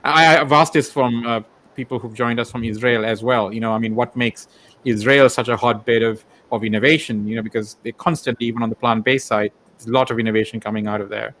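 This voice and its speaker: A man from Sri Lanka hosts a relaxed interview show in a medium-pitched voice. He talks in a rambling, tentative way, circling before he gets to a question. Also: fairly quick, a little hiss or hum. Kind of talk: casual interview chat.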